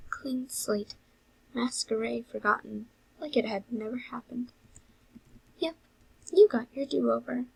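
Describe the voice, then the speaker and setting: Girl doing a bitter sarcastic taunting character, slow n husky, mocking, fan drama. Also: fairly high pitch, no echo, heavily acted, rough recording. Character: sardonic, foul-mouthed, bitter, taunting inner companion. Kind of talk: amateur fan audio drama monologue.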